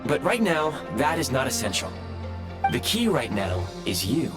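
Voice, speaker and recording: A man's voice: mid-range voice, sing-song. A man voicing an earnest, formal, newly awakened ancient robot guide in a video game, with precise, dutiful phrasing. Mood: earnest, resolute. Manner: formal, precise phrasing with halting self-corrections. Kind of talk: scripted game voice acting. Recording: background music under the voice.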